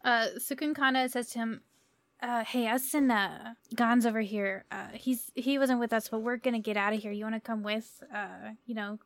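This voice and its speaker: A woman's voice: on the high side, steady tone, moderate pace. A woman playing a tabletop role-playing game, joking and playful. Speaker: player. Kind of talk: casual chat and in-character play.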